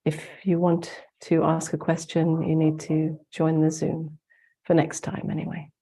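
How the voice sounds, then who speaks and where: Slow, flat-voiced woman with a congested voice. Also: low-pitched. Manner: slow, soft-spoken, gently guiding. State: congested, getting over the flu. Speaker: spiritual teacher hosting a webinar. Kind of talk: guided meditation and talk.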